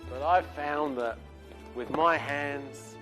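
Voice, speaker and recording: A man's voice: on the high side, slow, music in the background. An actor playing a chatty male prisoner who boasts about his car-stealing past to a guard.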